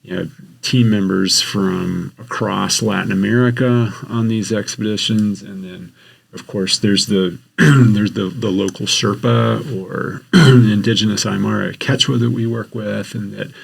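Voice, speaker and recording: A man, a geography professor, talking in a podcast interview in an earnest, explanatory way, often pausing to rephrase. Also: on the low side, steady tone, creaky, slightly rough. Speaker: geography professor and expedition researcher.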